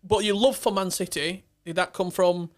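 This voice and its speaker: A man with a high, light voice co-hosts a casual football podcast. He sounds upbeat and keen, talking up his guest. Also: fairly even intonation, moderate pace. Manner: upbeat, introduces guest with hype. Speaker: podcast co-host.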